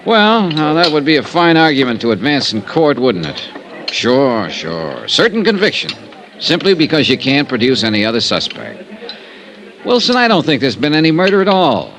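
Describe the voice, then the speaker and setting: A man's voice: on the high side, big pitch swings, normal speed, background noise. An actor playing a wry, self-assured male insurance investigator in an old radio detective drama, with a clipped, dry, skeptical delivery. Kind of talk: 1950s radio detective acting. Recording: vintage radio drama recording.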